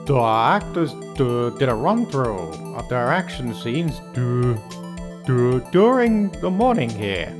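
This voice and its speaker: A man voicing a bumbling, nervous police detective who stutters haltingly, in a video game fan dub. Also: mid-range voice, sing-song, music in the background. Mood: nervous, flustered.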